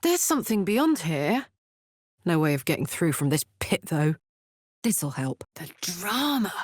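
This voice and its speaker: A woman's voice: on the low side, big pitch swings, measured pace, husky, dry recording, studio quality, heavily acted. A woman voicing a brash, big-hearted fiery tiefling warrior in a video game, blunt, slangy and swaggering. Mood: playful, cheeky. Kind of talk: video game character voice lines.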